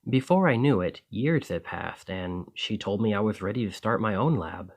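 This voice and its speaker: A man's voice: on the low side, lively intonation, moderate pace. A man playing a friendly, earnest, easily flustered alchemist in an ASMR roleplay, talking conversationally to the listener. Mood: fond reminiscing. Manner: conversational, enthusiastic, hesitant when embarrassed.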